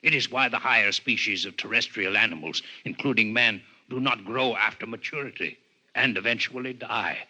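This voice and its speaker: An actor playing a grave, coldly intellectual alien physician in a 1950s radio drama, speaking in formal, measured lectures with a husky voice. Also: male voice, medium pitch, bright, forceful tone, low-quality recording. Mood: grave, lecturing. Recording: 1950s radio broadcast recording.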